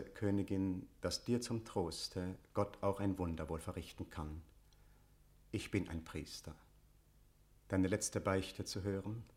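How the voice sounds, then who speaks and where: An actor playing a devout, insistent priest hearing confession, in solemn, declaimed verse for a classical radio drama. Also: male voice, low voice, a little mellow. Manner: solemn, measured, liturgical declamation.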